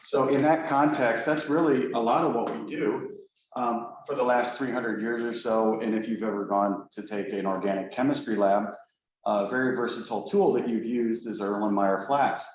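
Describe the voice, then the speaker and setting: A male professor giving a relaxed, lightly joking seminar talk to colleagues in a lecture room. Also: medium pitch, moderate pace. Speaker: guest speaker, professor. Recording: echoey room, dull lo-fi sound, faint noise.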